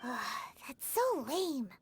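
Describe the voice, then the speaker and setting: A woman voicing a tiny, blunt, cheeky childlike fairy girl companion in a video game, with a squeaky voice. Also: expressive, extremely slow, breathy edge, exaggerated voice. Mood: disappointed. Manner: refers to self in third person, exclamatory. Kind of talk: animated video game voice acting.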